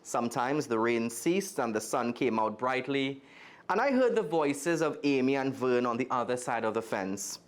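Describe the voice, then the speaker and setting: Animated Trinidadian man reading aloud. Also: medium pitch, moderate pace. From Trinidad and Tobago. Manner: expressive, addresses young listeners directly. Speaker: guest storyteller. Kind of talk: animated read-aloud of a children's story.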